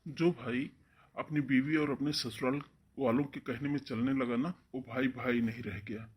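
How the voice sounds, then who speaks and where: A man's voice: mid-range voice, steady tone, normal speed, lo-fi sound, slight background noise, lightly acted. A man voicing a protective, defiant young brother, earnest and firm, in a melodramatic cartoon story.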